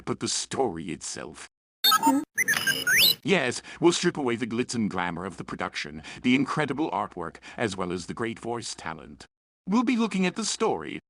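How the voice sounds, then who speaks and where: A man's voice: medium pitch, creaky, fairly bright tone, a little hiss or hum. A man hosting a scripted review in a chatty, opinionated way, with playful, self-aware asides. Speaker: host and reviewer of fan fiction and audio dramas.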